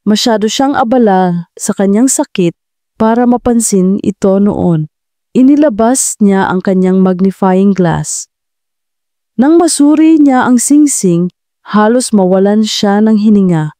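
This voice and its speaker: A woman's voice: mid-range voice, animated, measured pace, dark tone, dry recording, studio quality. A woman narrating a scripted romance drama as a storyteller, in a dramatic style.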